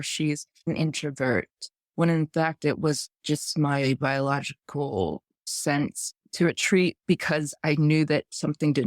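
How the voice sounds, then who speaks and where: A woman's voice: low-pitched, a little mellow, dry recording. Thoughtful woman in her thirties telling her story. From United States. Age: 30s. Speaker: podcast guest. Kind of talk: interview, personal story.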